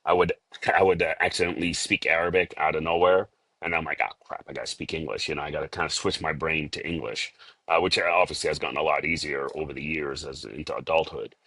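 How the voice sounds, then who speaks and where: A man in his forties from Liberia, with a Boston accent, telling his story as an interview guest, halting with many fillers and restarts. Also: rumbling low, fairly even intonation, pushed and bright, slightly rough, dry recording. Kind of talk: casual interview talk.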